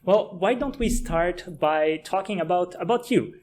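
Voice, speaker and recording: A man's voice: high voice, unhurried, darkish tone, slight background noise. A young man from Brazil, a podcast host, patiently explaining science in plain steps before an interview. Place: office room with a table. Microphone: desk mic on a boom arm.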